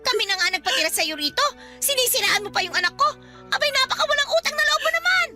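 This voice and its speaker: A woman's voice: extremely high pitch, animated, quick pace, over loud music. A woman playing a harsh, disbelieving middle-aged aunt who scolds emphatically in a Filipino radio drama, with a Filipino accent. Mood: scolding. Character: harsh, disbelieving aunt fiercely defending her son.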